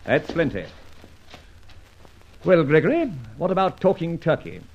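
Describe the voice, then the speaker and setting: An actor narrating and playing a suave, confident masked gentleman adventurer in an old radio drama, with a mocking, commanding manner. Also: a little high, big pitch swings, measured pace, a little hiss or hum. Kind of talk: 1940s radio acting. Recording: dull old radio recording.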